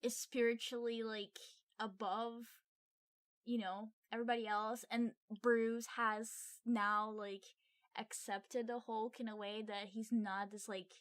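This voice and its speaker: A woman's voice: on the high side, little pitch movement, measured pace, no echo. A woman co-hosting a film review podcast in casual chat, giggly and gushing in manner.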